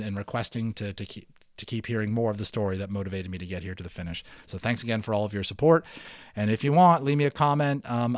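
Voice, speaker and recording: A man reading a storybook aloud in an expressive, dramatic way, with a folksy, casual manner as host. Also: mid-range voice, dry recording, clean studio sound. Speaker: reader and host of a read-aloud series.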